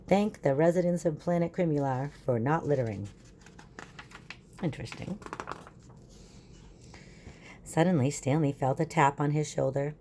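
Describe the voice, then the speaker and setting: Low-pitched woman teaching children. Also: creaky, mellow, a little hiss or hum. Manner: instructive, asks questions of young listeners. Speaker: teacher reading a picture book aloud. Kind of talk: children's lesson and storybook read-aloud.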